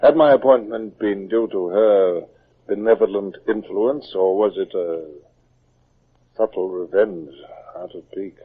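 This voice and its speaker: Low-voiced British naval captain, old radio. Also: male voice, unhurried, breathy edge. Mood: brooding, reflective. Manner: commanding, clipped, authoritative. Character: stern but humane naval captain, brooding and dutiful. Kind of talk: old-time radio drama acting. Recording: muffled, narrow old radio recording.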